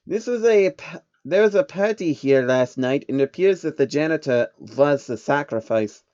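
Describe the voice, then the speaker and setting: A man's voice: on the high side, expressive, normal speed, a little mellow, dry recording, highs cut off, lightly acted. Man doing a sly, amused secret-society baron.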